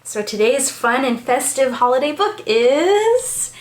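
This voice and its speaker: A woman's voice: high voice, very animated, takes it slowly, reverberant. An American woman hosting a read-aloud storytime for young children, speaking with bubbly, exaggerated enthusiasm as a teacher does to little ones.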